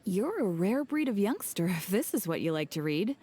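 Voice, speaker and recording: An actress playing a chatty, wry bookshop clerk in a video game cutscene. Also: female voice, medium pitch, big pitch swings, moderate pace, mellow, breathy edge.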